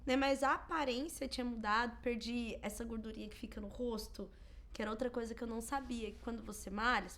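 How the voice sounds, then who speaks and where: Brazilian portuguese woman, thirties, podcast co-host, casual chatty storytelling, a little high-pitched. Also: fast talker. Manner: chatty, animated storytelling with acted-out quotes. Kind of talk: casual chat.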